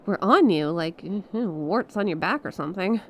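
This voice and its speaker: A woman playing an earnest, awestruck stranded space pilot in an ASMR audio roleplay, speaking expressively and haltingly. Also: fairly low pitch, slight background noise. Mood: uneasy, persuading. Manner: expressive, halting, conversational, with small laughs and breathy exclamations. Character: stranded young female star scout pilot, awestruck and earnest.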